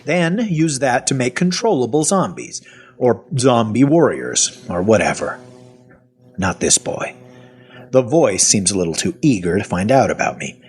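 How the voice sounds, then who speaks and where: A man's voice: mid-range voice, lively intonation, a little slow, faint music behind, lightly acted. An actor playing a wry, cynical half-zombie man in an audio fiction drama, telling his own story in a sardonic, colloquial manner. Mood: matter-of-fact, wry. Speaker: voice actor and narrator. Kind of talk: first-person audio fiction narration and dialogue.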